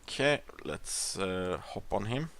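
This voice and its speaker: A man with a Swedish accent gives relaxed gameplay commentary in a somewhat low voice. He thinks out loud, stalling on ums and uhs and restarting his sentences. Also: takes it slowly. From Sweden. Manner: rambling, hesitant, many fillers and restarts. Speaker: gaming channel host.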